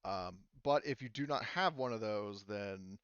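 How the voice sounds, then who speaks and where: A man working as game master, narrating a tabletop roleplaying game casually and haltingly, often correcting himself and swearing. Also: on the low side, a bit bright, clean tone, no echo, dull audio.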